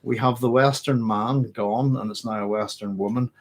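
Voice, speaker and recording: A man's voice: mid-range voice, steady tone, normal speed. A man hosting a late-night live science fiction Q&A, chatting loosely and correcting himself as he answers viewers' questions. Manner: rambling, self-correcting, conversational. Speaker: host of a science fiction channel.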